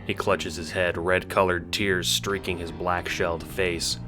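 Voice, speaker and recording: A man's voice: deep voice, level tone, measured pace, sharp tone. A man narrating an audio drama in a dramatic, scripted storytelling style, with music behind him.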